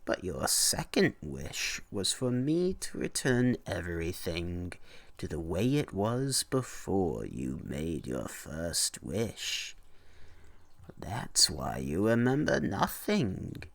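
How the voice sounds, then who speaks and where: A man voicing a toothless old hag who grants wishes, with a cackling, taunting, drawn-out delivery in a storybook reading. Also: deep voice, wildly swooping pitch, a bit bright, no echo, exaggerated voice. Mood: taunting, sly.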